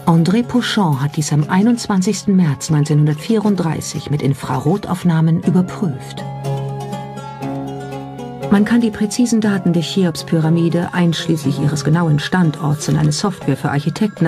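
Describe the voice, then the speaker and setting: A documentary narrator reading a script in a measured, explanatory way and posing rhetorical questions. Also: mid-range voice. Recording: music behind the voice. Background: clearly audible background music.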